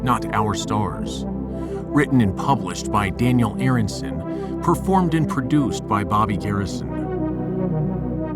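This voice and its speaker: A man reading scripted announcements for an audio drama podcast in a dramatic style. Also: mid-range voice. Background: loud music.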